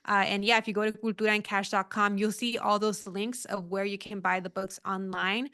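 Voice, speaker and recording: A woman's voice: medium pitch, brisk, clean tone. A woman from the United States, a guest author, talking in casual interview chat with many fillers. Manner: bright, animated, uses fillers like "like" and "um".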